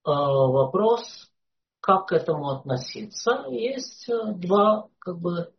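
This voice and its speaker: Unhurried, halting lecturer with mid pitch, many filler pauses, dull lo-fi recording. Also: expressive, creaky, slight background noise. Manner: hesitant, frequent "uh" fillers. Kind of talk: unscripted lecture.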